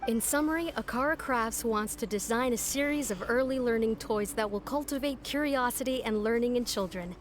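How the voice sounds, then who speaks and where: A woman's voice: on the high side, a little fast, hardly any pauses, faint music behind, natural voice. A woman voicing a polite, earnest academy go-between in a video game, explaining things courteously.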